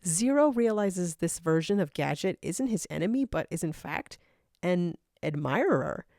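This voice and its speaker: A woman's voice: fairly low pitch, very animated, unhurried, creaky, a little mellow, dry recording, clean studio sound. A woman narrating scripted fan fiction in a dramatic storytelling style.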